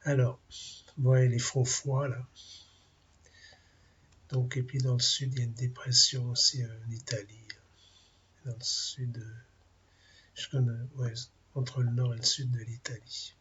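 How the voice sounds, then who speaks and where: A man with a cold and a French accent giving an informal weather briefing, halting and full of fillers as he talks through maps. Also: mid-range voice, little pitch movement, fairly bright tone, muffled recording. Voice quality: gravelly, husky. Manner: halting, many fillers, thinking aloud over weather maps. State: has a cold, clears throat. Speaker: amateur weather forecaster.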